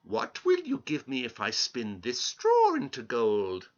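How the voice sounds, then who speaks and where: A man's voice: medium pitch, huge pitch swings, measured pace, dull audio. A man voicing a strange little fairy-tale bargainer, sly and teasing, drawing out his words. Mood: sly bargaining.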